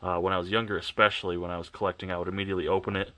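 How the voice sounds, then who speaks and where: A man with a deep, low voice and a congested, sniffly sound, rambling through a casual tour of his home shelves. He speaks in a flat, even tone and often stops to correct himself. He is recorded on a camera on a tripod. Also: rapid-fire. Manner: rambling, offhand, self-correcting. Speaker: collector vlogger. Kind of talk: casual walkthrough of his collection.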